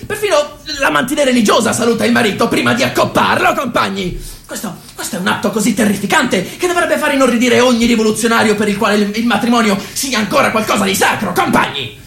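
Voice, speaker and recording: Animated, crude party climber. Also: medium pitch, creaky, reverberant. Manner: declamatory, folksy, repeatedly addressing comrades. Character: crude, boot-licking party minister, ambitious, spouting peasant proverbs. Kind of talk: theatrical radio drama monologue.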